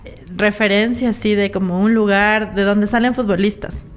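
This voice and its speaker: Female host, average pitch, informal conversational interviewing style. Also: steady tone, normal speed, a little hiss or hum.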